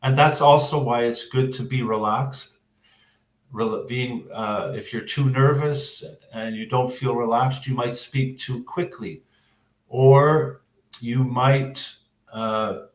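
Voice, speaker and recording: A man with a Canadian accent explaining exam advice as an English teacher, in a measured way with frequent hesitant pauses. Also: medium pitch. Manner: measured, hesitant, pausing often. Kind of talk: explanatory interview answers. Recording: roomy, echoing sound.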